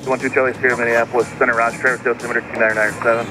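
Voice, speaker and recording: A man's voice: medium pitch, flat delivery, rapid-fire, low-quality recording. A man working as an air traffic controller, giving clipped procedural instructions over aviation radio. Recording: aviation radio with loud music behind.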